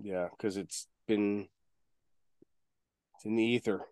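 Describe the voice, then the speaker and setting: A man's voice: on the low side, level tone, slow pace, darkish tone, clear, resonant tone, no echo. A man chatting casually as a podcast co-host, informal and rambling, given to swearing. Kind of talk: casual chat about video game movies.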